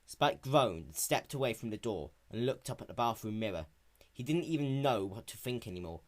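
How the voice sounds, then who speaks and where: A man's voice: mid-range voice, lively intonation, fairly quick. A man narrating a scripted story in a dramatic reading style. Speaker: narrator of a fan fiction reading.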